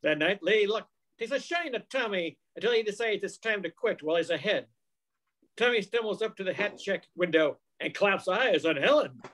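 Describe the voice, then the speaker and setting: Older guy in his 60s, higher voice, narrating an old radio mystery play from a script. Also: animated, normal speed, fairly bright tone. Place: living room at home. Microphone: video call.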